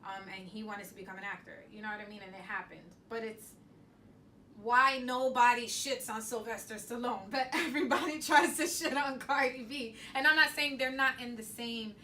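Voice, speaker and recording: A woman's voice: on the high side, normal speed, sharp tone. A woman co-hosting a casual podcast chat, candid and chatty, often checking in with "you know what I mean". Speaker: podcast co-host. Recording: distant mic with background noise.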